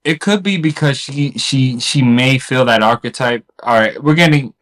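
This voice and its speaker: A man's voice: mid-range voice, normal speed, fairly bright tone. A man chatting casually with a friend. He is blunt and swears freely, and laughs a lot between remarks. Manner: blunt, profane, laughs often. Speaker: podcast co-host. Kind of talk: casual chat.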